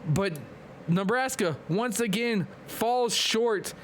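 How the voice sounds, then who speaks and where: A man hosting a live stream and excitedly calling play-by-play sports commentary while losing his voice from a clogged nose. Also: high voice, a little hiss or hum. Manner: excitable, hyped play-by-play with quick asides to chat. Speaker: league commentator and stream host.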